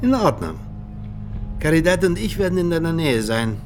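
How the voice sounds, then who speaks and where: A ruthless secret-service mentor in a radio play. His delivery is deliberate and commanding, with lively swings in pitch and a conspiratorial edge. Music runs clearly underneath. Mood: persuasive, grave. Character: ruthless Soviet agent mentor, coldly persuasive commander. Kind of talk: radio drama acting.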